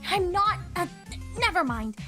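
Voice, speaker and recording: Woman as an earnest, high-voiced young pony mare. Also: very animated, unhurried, over music, heavily acted. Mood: urgent. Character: earnest, urgent young female pony, studious. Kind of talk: fan fiction audio reading.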